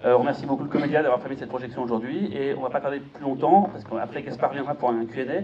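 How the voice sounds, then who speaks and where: Very fast-talking man, muffled live sound. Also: medium pitch, steady tone. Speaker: festival presenter. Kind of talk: informal live introduction to an audience. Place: cinema auditorium in front of an audience. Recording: muffled sound, clear audience noise.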